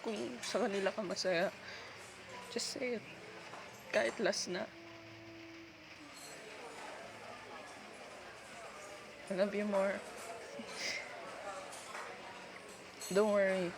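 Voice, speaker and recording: A woman with a Filipino accent playing a heartbroken, self-sacrificing girlfriend in an ASMR roleplay, speaking in a halting, tender, pleading way. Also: medium pitch, steady tone, close to an everyday voice. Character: heartbroken, self-sacrificing long-time girlfriend letting her partner go. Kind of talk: ASMR girlfriend roleplay, intimate one-sided dialogue. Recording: clearly noisy background.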